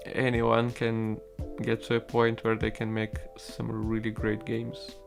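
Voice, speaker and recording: Young russian-native man, unhurried drawl, rambly and swears a lot, quiet music under him. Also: fairly low pitch, completely flat delivery, vocal fry. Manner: laid-back, rambling, swears casually. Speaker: solo game developer streaming. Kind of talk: casual chat with stream viewers while coding.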